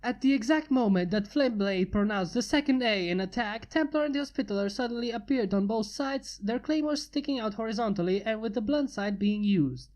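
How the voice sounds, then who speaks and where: A woman reading fan fiction aloud in a steady narration. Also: fairly high pitch, a little fast, few pauses, rough recording. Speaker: fanfic reader. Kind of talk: amateur fanfic narration.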